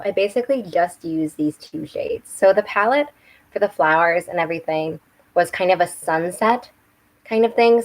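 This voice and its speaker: A young woman with a slightly hoarse voice, chatting casually and rambling a little on a home live stream while doing her makeup. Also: on the low side, poor audio. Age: young adult. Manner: rambling, chatty, with restarts and self-corrections. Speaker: book and makeup channel host. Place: home room with bookshelves. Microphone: webcam.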